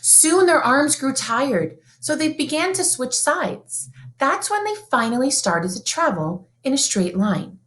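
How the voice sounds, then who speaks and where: A woman reads a picture book aloud to young listeners, her pitch swooping up and down as she tells the tale. Her delivery is sing-song and expressive, in the manner of a teacher at story time. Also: unhurried. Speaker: teacher reading aloud to children. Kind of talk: children's read-aloud storytelling.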